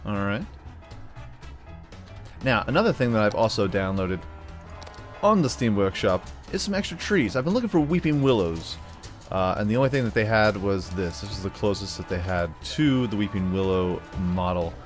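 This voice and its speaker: An American man with a fairly low voice talks over a bed of music. He commentates on a game casually, rambling as he thinks aloud. His manner is playful and unscripted, the easy patter of a video host at work. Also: lively intonation, normal speed. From United States. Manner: playful, often slips into put-on drawls. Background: background music.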